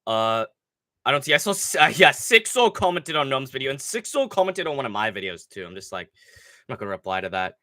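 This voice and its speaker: A man's voice: mid-range voice, a little fast, no echo, studio quality. A young man hosting a casual livestream, with a stuffy nose from a cold, rambling and swearing as he talks through fight picks. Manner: profane, rambling, excitable. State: nasal from a cold. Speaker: livestream host.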